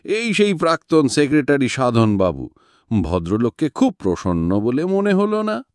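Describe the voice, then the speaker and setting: A male reader puts on a genial household gentleman for a mystery storybook. He is polite and obliging, speaking in a raised pitch with very lively swings. The recording is dry. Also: normal speed, dry recording. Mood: confiding.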